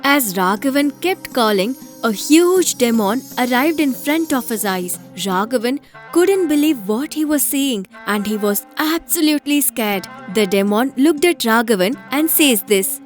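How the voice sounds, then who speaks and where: A woman's voice: high voice, animated, unhurried, soft background music. A woman narrator reading a scripted moral story.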